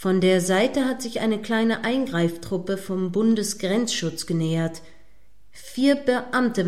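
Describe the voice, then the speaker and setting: A woman's voice: mid-range voice, a little mellow, natural voice. Actress as female news reporter, indignant, judgmental, dramatic live commentary, radio play.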